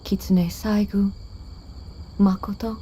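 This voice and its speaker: An actress playing a solemn, dignified warrior woman in a video game, speaking in a measured, formal and restrained way. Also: medium pitch, flat delivery, mellow, a little hiss or hum, close to an everyday voice. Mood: reflective, gentle.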